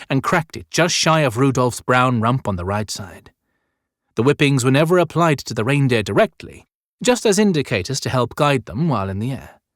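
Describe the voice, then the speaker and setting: A man's voice: mid-range voice, no echo. Male audiobook narrator, close and dry. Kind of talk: scripted narration, dramatic fantasy storytelling.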